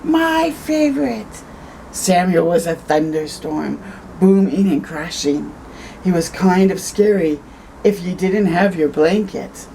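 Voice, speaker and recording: A woman reading a picture book aloud to children as a storytime host, expressive, with conversational asides. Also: lowish voice, measured pace, a little hiss or hum. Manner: conversational asides, tags questions with "eh".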